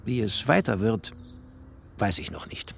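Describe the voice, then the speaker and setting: A man's voice: fairly low pitch, big pitch swings, unhurried, vocal fry, full character voice. An actor playing a bookish, wry, tender poor writer who narrates his life in clipped, poetic phrases in a radio play. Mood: wry, musing. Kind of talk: literary first-person radio play narration.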